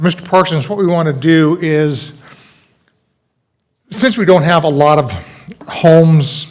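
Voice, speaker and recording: A man chairing a city commission meeting who is losing his voice, talking in an informal, chatty way. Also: fairly high pitch. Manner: informal, chatty, self-deprecating. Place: city council chambers.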